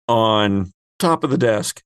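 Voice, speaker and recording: A man narrating a tabletop roleplaying game as game master, describing scenes in a deliberate storyteller's way. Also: lowish voice, expressive, clear, resonant tone, no echo, studio quality.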